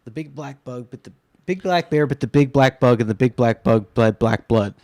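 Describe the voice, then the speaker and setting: A man's voice: mid-range voice, normal speed, darkish tone, dry recording. Sardonic male comedian, dry sound. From United States. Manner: sardonic, self-deprecating, profane. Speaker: comedian guest. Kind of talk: casual podcast banter. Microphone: cheap headphones, studio mic.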